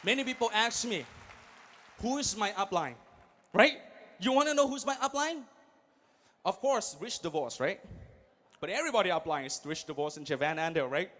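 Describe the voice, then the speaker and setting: A man in his thirties giving an energetic motivational speech at a large event, English not his first language, swinging from quiet asides to loud calls to the crowd. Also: high voice, normal speed, long pauses. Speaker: guest speaker.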